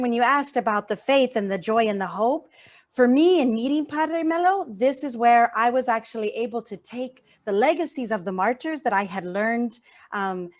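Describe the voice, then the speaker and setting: A woman from San Francisco, giving an earnest, heartfelt speech and repeating phrases for emphasis. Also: a little high. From San Francisco Bay Area, USA. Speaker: activist speaker. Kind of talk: heartfelt speech at an online event.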